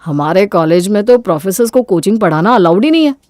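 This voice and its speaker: A woman's voice: medium pitch, expressive, quick pace, a little mellow, dry recording, clean studio sound, lightly acted. A woman voicing an earnest, devoted young male college student, insistent in manner, in an audio story. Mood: confronting.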